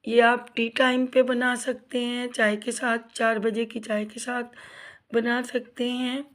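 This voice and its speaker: A woman's voice: fairly high pitch, level tone, measured pace, a bit bright. A woman hosting a home cooking vlog, talking viewers through frying snacks while nursing a sore throat, chatty and friendly with her audience. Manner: chatty, addresses viewers as guys, asks for subscribes. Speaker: cooking channel host. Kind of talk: casual cooking vlog narration. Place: home kitchen.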